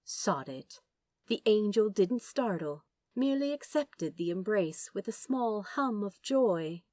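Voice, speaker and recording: A woman reading a story aloud as a narrator, with dramatic, deliberate phrasing and drawn-out words. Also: mid-range voice, expressive, mellow, dry recording, clean studio sound. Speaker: audiobook narrator. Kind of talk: expressive scripted story narration.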